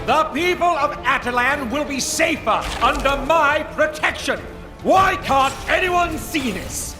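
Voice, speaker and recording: A petulant, self-styled genius villain in a video game. The voice is very high, drawn out and whiny, scheming theatrically over clear music. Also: male voice, unhurried. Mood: petulant, defiant. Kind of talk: cartoonish game cutscene acting.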